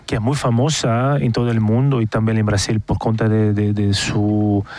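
Man, Brazilian Portuguese accent, middle-aged, radio guest, halting, repeats words, dry close sound. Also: lowish voice, level tone, a little mellow, dry recording. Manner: halting, repeats words while searching for them. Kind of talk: casual interview talk.

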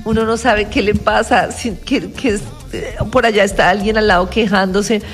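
A woman hosting a radio show, chatting casually and telling stories with quick asides. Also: medium pitch, steady tone, soft background music. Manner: chatty, quick, laughing storyteller. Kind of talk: casual on-air chat.

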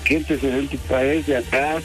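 A man's voice: mid-range voice, fairly even intonation, moderate pace, faint music behind. Hesitant, apologetic Mexican man. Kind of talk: spontaneous phone conversation.

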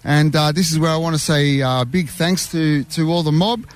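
A man's voice: a little high, normal speed. A man, a talkative interview guest who rambles with lots of fillers at an outdoor community event. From Armenian heritage. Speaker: interview guest, youth worker. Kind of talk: casual chat.

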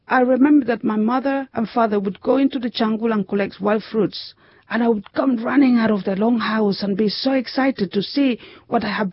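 A woman giving a translated voice-over in a gentle, reflective way. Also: mid-range voice, slightly rough, no room sound. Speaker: voice-over interpreter. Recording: dull muffled audio.

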